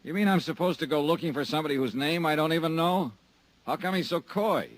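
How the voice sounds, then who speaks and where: An actor playing a wry, hard-boiled insurance investigator who narrates and trades dry, sardonic quips in a 1950s radio drama. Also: male voice, a little high, fast talker, dry recording, close to an everyday voice. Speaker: narrator and lead.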